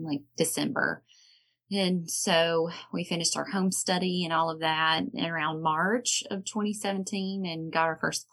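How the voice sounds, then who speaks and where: A woman's voice: on the low side, fairly bright tone. A woman from Alabama with a Southern American accent, chatting casually as a podcast guest. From Alabama, United States. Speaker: podcast guest, foster and adoptive mother. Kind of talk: casual chat.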